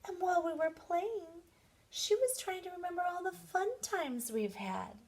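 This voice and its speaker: A woman voicing eager, wistful young schoolchildren from a storybook in a lilting, expressive way. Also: extremely high pitch, a little slow, voice pushed up, full character voice. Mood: concerned.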